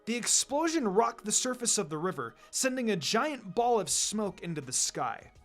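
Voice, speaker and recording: A man's voice: high voice, big pitch swings, normal speed, faint music behind. A man reading an adventure story aloud as narrator, in a dramatic scripted delivery. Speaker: narrator, fan fiction reader.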